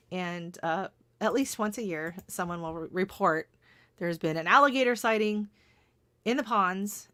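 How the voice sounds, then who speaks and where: A middle-aged Canadian woman hosting a casual livestream chat, laughing often and greeting viewers by name, her voice starting to go hoarse. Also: lowish voice, sharp tone, no echo. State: voice going hoarse. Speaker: gardening channel host.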